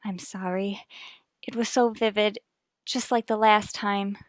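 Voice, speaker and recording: A woman's voice: medium pitch, fairly even intonation, unhurried, no echo, studio quality, close to an everyday voice. A woman playing a troubled, guarded wife and mother in an old-time radio soap, restrained and evasive in manner. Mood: shaken, anxious.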